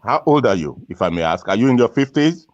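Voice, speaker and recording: A man's voice: mid-range voice, big pitch swings, quick pace, no room sound. Male debater, mid-range voice on dull audio. Challenges hard, repeating questions insistently. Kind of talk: confrontational debate.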